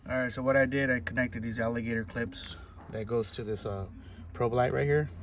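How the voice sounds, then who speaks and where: A man giving a casual, halting hands-on tutorial to viewers, thinking aloud as he works. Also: medium pitch, steady tone, a bit bright. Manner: informal, halting, thinking aloud while working. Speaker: host of a DIY car installation video. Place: in or beside a car. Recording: clear background noise, vehicle ambience.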